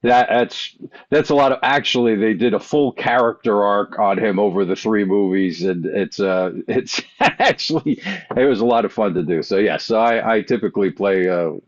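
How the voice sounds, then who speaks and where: A man's voice: on the low side, moderate pace. A man chatting casually as a podcast guest, recorded with slightly muffled sound. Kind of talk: casual chat.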